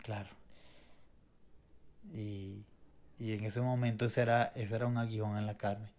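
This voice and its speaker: A man's voice: lowish voice, little pitch movement, unhurried, a little mellow. Earnest Venezuelan-born man sharing testimony. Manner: earnest, reflective, with many restarts and repetitions. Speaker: co-host.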